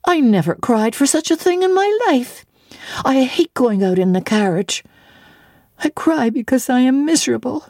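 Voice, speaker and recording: Storybook orphan girl adult actress, around nine, wretched yet defiant, high and emphatic in delivery. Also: lively intonation, moderate pace, a little mellow, dry recording, clean studio sound. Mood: indignant, miserable. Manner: blunt, pleading, emphatic.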